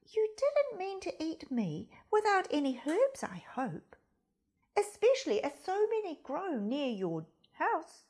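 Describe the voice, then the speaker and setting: A woman's voice: extremely high pitch, wildly swooping pitch, takes it slowly, darkish tone, heavily acted. A woman voicing a polite, wily storybook goose with a courteous, coaxing manner.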